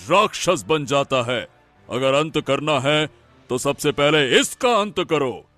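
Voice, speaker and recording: A man playing a cunning cartoon holy man with magical powers, speaking in a deliberate, scolding, commanding way. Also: on the high side, a bit bright, no room sound, exaggerated voice. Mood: stern warning. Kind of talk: animated Hindi moral-story acting.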